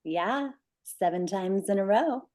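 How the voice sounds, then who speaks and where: Mellow, smug pageant champion played by a woman. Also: medium pitch, lively intonation, takes it slowly. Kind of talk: screenplay table read acting.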